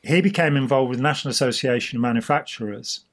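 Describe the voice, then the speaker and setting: Hoarse older man explaining. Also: mid-range voice, fast talker. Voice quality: husky. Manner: gestures freely while explaining. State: slightly hoarse. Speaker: host. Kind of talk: casual explanatory chat. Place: small indoor room.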